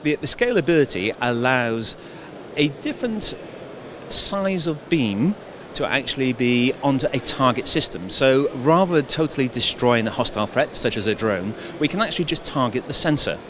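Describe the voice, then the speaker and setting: A man's voice: medium pitch, expressive, background noise. Man explaining technical equipment. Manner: measured, explanatory, with hesitations. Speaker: defense engineer.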